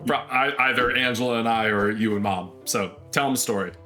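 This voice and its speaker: A man's voice: mid-range voice, brisk, bright, forceful tone, soft background music. A young man hosting a casual question-and-answer video, easygoing and a bit hesitant as he reads out viewer questions. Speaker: host, video creator.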